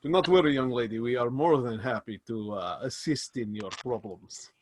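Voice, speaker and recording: A man with a put-on Russian accent voicing a huge, gallant male anarchist who speaks slowly and formally. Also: medium pitch, slight background noise, voice pushed down, natural voice. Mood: reassuring. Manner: slow, formal, reassuring.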